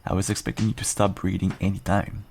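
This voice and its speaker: A man playing a guarded but kind half-wolf rogue in an intimate fantasy audio roleplay, unhurried and dryly understated. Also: level tone, a little mellow, slight background noise. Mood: dry, scolding. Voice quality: low, gravelly, husky. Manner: unhurried, dry, understated, self-deprecating.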